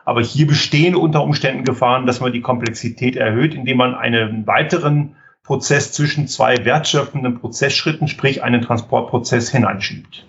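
A man's voice: mid-range voice, brisk, vocal fry, fairly bright tone, highs cut off, slight background noise. A middle-aged German man, a guest on a podcast, explaining his ideas thoughtfully with frequent fillers. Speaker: guest, economic physicist and consultant.

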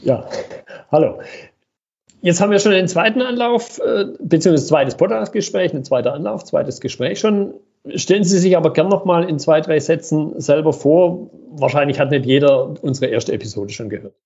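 Breathy-voiced male podcast host. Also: on the high side, animated, darkish tone, muffled recording. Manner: measured, asks questions with many hesitations and repetitions. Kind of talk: scripted intro then interview questions.

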